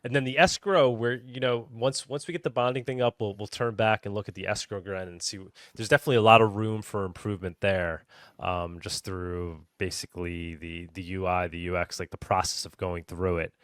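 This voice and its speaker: A man with a low voice hosts a casual interview. He speaks haltingly, reworking his sentences as he goes, in a dry recording with hardly any room sound. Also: steady tone, fairly bright tone, no room sound. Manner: halting, rephrases questions as he goes. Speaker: podcast host.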